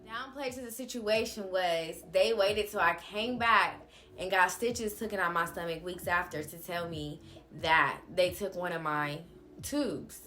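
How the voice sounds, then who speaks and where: A young woman with a slightly low-pitched voice talks in a casual, confessional vlog style. Her delivery is candid, blunt and slangy, as if telling a story straight to her followers. Music plays behind her voice. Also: measured pace, pushed and bright. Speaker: vlogger telling a personal story.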